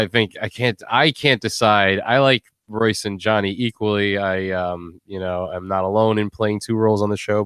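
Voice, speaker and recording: A man's voice: fairly low pitch, a bit bright, smooth, no echo, clean studio sound. A man hosting a live stream in a casual, rambling, joking way. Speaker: host.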